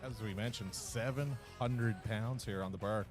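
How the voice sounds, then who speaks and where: A man's voice: on the low side, unhurried. Easygoing man co-commentating strongman. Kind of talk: live color commentary. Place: outdoor strongman event at a fair. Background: guitar music.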